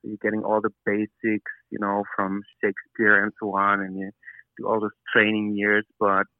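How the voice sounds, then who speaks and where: A man's voice: fairly low pitch, completely flat delivery, moderate pace, no room sound. A man from Austria with an Austrian German accent, speaking English as a second language, answering interview questions by phone, hesitant with many fillers. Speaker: actor, interview guest. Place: hotel room. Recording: tinny phone line, dry.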